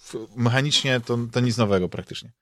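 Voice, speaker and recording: A man's voice: mid-range voice, brisk, no echo. A Polish man speaks in a mid-range voice, recorded close and dry. He chats like a podcast host, loosely and hesitantly. He circles back over his words as he looks for the right phrase. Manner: hesitant, rambling, repeats words while searching for phrasing. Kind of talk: casual chat about games and comics.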